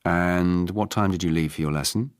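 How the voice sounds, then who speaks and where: A man voicing a brisk, polite female police detective in a novel reading, clipped and matter-of-fact. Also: low voice, clean tone, no room sound, studio quality, close to an everyday voice. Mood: probing.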